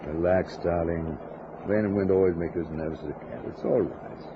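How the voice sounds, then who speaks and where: A man's voice: deep voice, fairly quick. An actor playing a brusque, impatient husband trying to reassure his frightened wife in an old-time radio drama. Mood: reassuring, impatient. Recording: noisy, muffled vintage radio drama recording.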